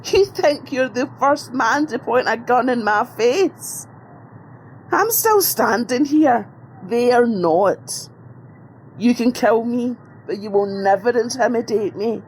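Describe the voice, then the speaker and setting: A woman voices a defiant prisoner in an audio drama. Her fairly high voice is forceful and unafraid as she stands up to her captors. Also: lively intonation, slow pace, slight background noise, rough recording. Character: defiant, fearless woman held prisoner by invaders.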